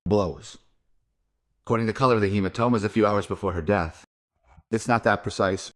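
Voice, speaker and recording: A man narrating a crime audio drama as a police investigator, deadpan, terse and world-weary. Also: lowish voice, brisk, no room sound, close to an everyday voice. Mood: dry, sober. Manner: deadpan, terse, matter-of-fact. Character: male police investigator narrating his murder case, dry and world-weary.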